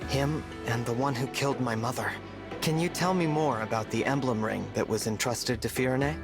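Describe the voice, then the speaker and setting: A man playing a gentle, earnest young divine hero in a video game, soft-spoken and formal in manner. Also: medium pitch, normal speed, music in the background, natural voice. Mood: resolute. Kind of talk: scripted video game voice acting.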